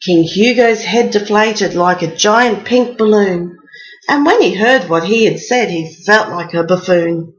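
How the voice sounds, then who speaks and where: A woman's voice: mid-range voice, a little slow, dull audio. A woman storyteller reading a rhyming picture book aloud as a bedtime story.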